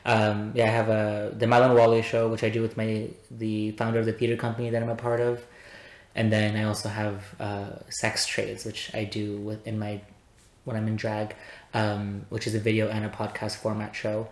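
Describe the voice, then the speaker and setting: A chatty young man in his twenties talks casually as a podcast guest, peppering his sentences with "um" and easy laughter. His voice sits low and fairly flat, and the room around him rings with echo. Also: moderate pace. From born in Afghanistan, raised in Pakistan and Canada. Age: 20s. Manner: chatty, laughs often, many "um"s. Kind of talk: casual chat. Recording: echoey room.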